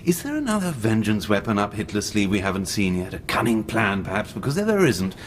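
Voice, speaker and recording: An actor playing an urbane, wry British army major with an English accent in a radio drama. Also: male voice, lowish voice, huge pitch swings, fairly quick, a little hiss or hum. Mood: wry, insistent. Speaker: army officer.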